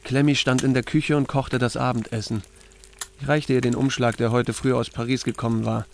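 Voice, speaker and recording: A man's voice: mid-range voice, little pitch movement, fairly quick, creaky, a little hiss or hum, lightly acted. Laconic country sheriff, played by a man. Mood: matter-of-fact. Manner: laconic, dry. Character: laconic, unhurried country sheriff telling his story. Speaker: narrator and lead actor. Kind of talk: radio drama narration and dialogue.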